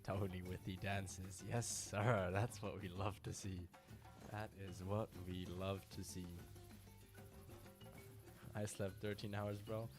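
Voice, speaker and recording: A man's voice: low voice, little pitch movement, slow. A tired man streaming a hunting game live, chatting casually and talking to his viewers by name. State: tired from little sleep. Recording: clear music bed behind voice. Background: music playing.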